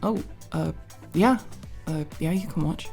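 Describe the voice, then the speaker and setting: A woman playing an awkward, tired but fond tomboy friend in an intimate ASMR roleplay, speaking in a halting, hesitant, casual mumble. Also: deep voice, creaky, over music.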